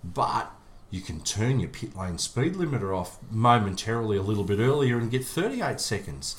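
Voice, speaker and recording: An Australian man co-hosting a casual chat, with a slightly low voice. He nitpicks the rules in a dry, sarcastic way. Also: sing-song, normal speed. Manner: pedantic, dryly sarcastic. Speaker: co-host.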